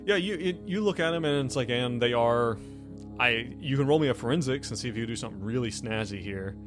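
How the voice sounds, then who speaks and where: A man's voice: medium pitch, fairly quick. A man narrating a tabletop game as game master, improvising and searching aloud for words. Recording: music behind the voice. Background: background music.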